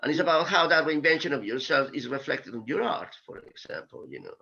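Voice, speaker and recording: Gravelly, hesitant man on lo-fi audio. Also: fairly high pitch, big pitch swings, brisk, pushed and bright. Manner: hesitant, searching for words. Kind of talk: casual conversation. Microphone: video call.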